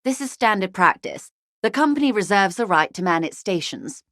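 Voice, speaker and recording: A woman's voice: mid-range voice, animated, normal speed, a bit bright, no room sound, studio quality, lightly acted. A woman playing a poised, wealthy, shrewd corporate negotiator in a video game, speaking formally and with polite, guarded care. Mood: guarded, matter-of-fact. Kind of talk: video game character dialogue.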